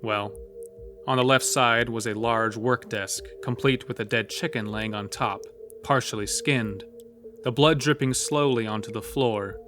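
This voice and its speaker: A man's voice: medium pitch, steady tone, measured pace, music in the background. A man narrating a scripted horror story, with a mid-range voice.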